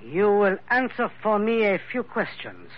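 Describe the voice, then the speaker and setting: An actor in an old radio drama playing a suave, suspicious French investigator with a French accent and formal, hesitant, courteous phrasing. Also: male voice, sits high, wildly swooping pitch, measured pace, soft background music, exaggerated voice. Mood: curt questioning. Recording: dull vintage radio recording.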